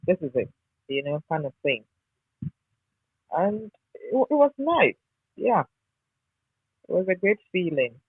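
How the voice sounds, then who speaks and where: A woman's voice: on the low side, big pitch swings, slow pace, long pauses, breathy edge, no room sound. A woman with a Ghanaian accent reminiscing nostalgically in an interview, repeating words for emphasis, over a phone-like connection. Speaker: interviewee, former radio newsreader. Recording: tinny narrow phone-like line.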